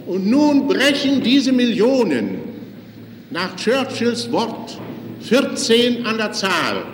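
A man giving a solemn, declamatory political speech in the formal manner of the 1940s. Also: sits high. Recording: 1940s archive recording, noisy reverberant hall.

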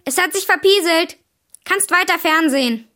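A high, boyish voice of a cheeky, loyal kid. He talks casually with a cool, sarcastic edge, as in a children's radio play. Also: moderate pace, sharp tone. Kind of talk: children's radio drama acting.

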